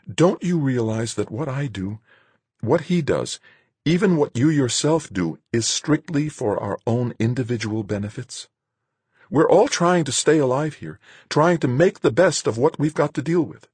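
A man voicing a defiant, self-assured woman crew member in an audiobook, with a firm, sharp way of talking. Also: mid-range voice, lively intonation, unhurried, slightly rough, no echo, voice pushed up.

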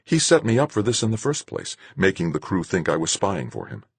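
A man's voice: low voice, huge pitch swings, a little fast, vocal fry, slightly rough, dry recording, pitched above the performer's own voice, heavily acted. A man voicing an anxious male space explorer in an audiobook, tense and earnest. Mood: anxious, calculating.

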